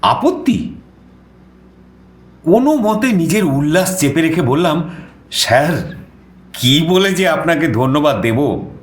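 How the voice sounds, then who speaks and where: An eager young reporter telling his own story in an audio drama. His delivery swings expressively in pitch with a Kolkata Bengali accent, in a roomy, echoing sound. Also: sing-song, slow pace, long pauses. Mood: nervous, eager. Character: eager, nervous young male junior reporter. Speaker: narrator and young journalist character. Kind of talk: dramatized first-person story narration.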